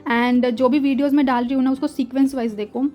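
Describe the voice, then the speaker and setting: A young woman, patiently explaining German textbook exercises. She repeats words slowly and mixes Hindi and English. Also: high-pitched, fairly even intonation, dark tone. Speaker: German teacher. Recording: faint music behind.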